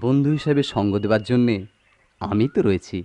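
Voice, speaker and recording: An actor playing a suave, flowery suitor, a company manager, with poetic theatrical delivery in a radio drama. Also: male voice, mid-range voice, a little fast, warm tone, no room sound, lightly acted. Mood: suave, friendly. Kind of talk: melodramatic radio drama acting.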